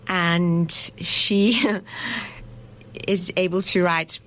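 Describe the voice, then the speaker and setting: A woman's voice: lowish voice, steady tone, extremely slow, fairly bright tone, low-quality recording. A middle-aged woman, a festival director, speaking thoughtfully in a radio studio interview, with frequent fillers and restarts. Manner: thoughtful, hesitant with fillers and restarts, laughs easily.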